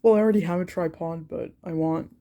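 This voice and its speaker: A man's voice: a little high, fairly even intonation, brisk, warm tone. A young man with a cold, sounding congested, talking through his art sketch in a hesitant way with many ums and restarts. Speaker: art channel creator. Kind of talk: casual art vlog narration while sketching.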